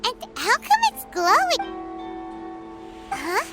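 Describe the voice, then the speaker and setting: A woman voicing a tiny, curious, childlike fairy companion with a squeaky voice in a video game. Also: huge pitch swings, takes it slowly, heavily acted. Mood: curious, puzzled. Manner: refers to self in third person, inquisitive. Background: music.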